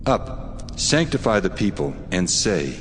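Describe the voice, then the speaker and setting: A man playing a stern, commanding deity in a dramatized audio Bible, speaking in a measured, authoritative, solemn way. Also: low voice, big pitch swings, fairly bright tone, a little hiss or hum, exaggerated voice. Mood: stern rebuke. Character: the Lord God, commanding stern male deity. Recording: long reverberant hall effect, music behind.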